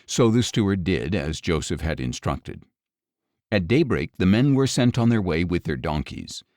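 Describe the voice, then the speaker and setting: A man reading scripture as an audiobook narrator, in a measured, solemn and expressive manner. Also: sits low, dry recording, clean studio sound.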